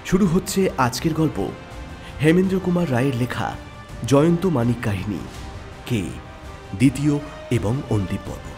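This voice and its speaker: A man's voice: medium pitch, animated, moderate pace, pauses often, mellow, soft background music. A man narrating and presenting a scripted mystery story as its storyteller.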